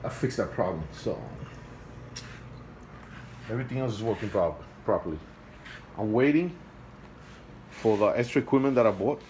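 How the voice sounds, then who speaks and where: A man with a non-native English accent, talking casually at home in a halting, repetitive way while filming an aquarium on his phone. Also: lowish voice, expressive, a little mellow, slight background noise, poor audio. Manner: halting and repetitive, restarts phrases, earnest and pleading. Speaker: hobbyist vlogger. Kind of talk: casual home video walkthrough. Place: home, beside an aquarium indoors. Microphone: phone held by the speaker while filming.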